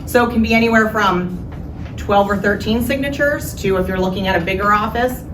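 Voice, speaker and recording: A woman serving as a county elections director, answering audience questions in an explanatory way and often checking in with "okay?". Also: medium pitch. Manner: explanatory, quick, with frequent "so" and "okay?". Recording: distant roomy mic with noise.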